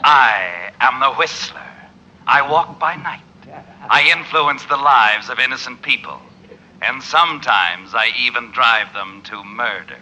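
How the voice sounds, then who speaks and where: A sinister, all-knowing stranger from a 1940s radio mystery. The voice is forceful and very sharp, measured and full of menace. It comes through a muffled vintage radio recording. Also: male voice, mid-range voice. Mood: slow menace. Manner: slow, ominous, deliberate. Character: sinister mysterious man, omniscient mystery-show narrator who haunts innocents.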